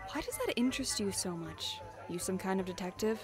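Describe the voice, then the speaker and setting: A woman's voice: medium pitch, sing-song, brisk, close to an everyday voice. A woman playing a brash, bossy adventurer with a blunt, teasing manner. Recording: loud music under the voice.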